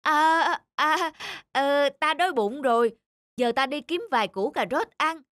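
An actor voicing a blustering, easily startled lion who stammers and exclaims in an animated fable. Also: sits high, measured pace, dry recording, studio quality. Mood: nervous. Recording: loud music behind the voice.

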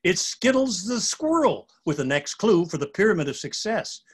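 Animated, husky male children's storyteller. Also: sits high, moderate pace. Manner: playful, expressive. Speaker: storybook narrator.

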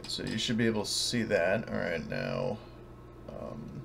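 A man with a fairly low, creaky voice acts as game master in a casual online session. He talks haltingly and hesitantly, with faint noise behind him. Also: wildly swooping pitch, fairly bright tone. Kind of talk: casual tabletop game hosting.

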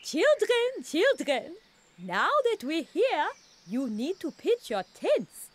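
A woman's voice: very high voice, wildly swooping pitch, slow, full character voice. A woman voicing a kindly, cheerful gazelle schoolteacher in a children's cartoon game, with a sing-song manner. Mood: brisk, encouraging. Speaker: teacher character. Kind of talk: animated children's game acting.

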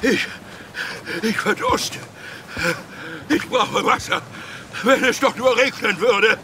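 An actor playing a hapless, sneaky, dim-witted cartoon villain who whines and exclaims to himself in a children's audio drama. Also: male voice, very high-pitched, slow, pushed and bright, a little hiss or hum, full character voice. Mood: disgusted, desperate. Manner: exclaiming, talking to himself, whining.

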